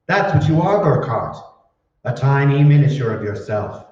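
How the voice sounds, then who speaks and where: A man's voice: medium pitch, big pitch swings, normal speed, darkish tone, natural voice. Echoey, distant mad scientist played by a man. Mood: gloating. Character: cold, calculating male mad scientist, smugly explaining. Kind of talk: old-time radio drama acting. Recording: echoey hall, distant mic, muffled.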